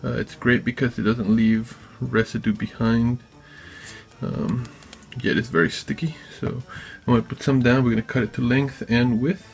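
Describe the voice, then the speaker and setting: A man hosting a craft tutorial, talking informally and haltingly as he thinks aloud while working. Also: mid-range voice, fairly even intonation, vocal fry, darkish tone, faint music behind. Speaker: DIY maker and video host. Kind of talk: casual hands-on tutorial narration. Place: indoor craft workbench.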